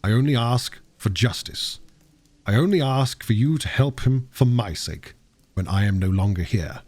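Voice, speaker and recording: A man voicing a troubled, secretive Victorian gentleman doctor in an audiobook, formal, earnest and emphatic, with a husky voice. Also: mid-range voice, animated, normal speed, no echo, natural voice. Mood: pleading.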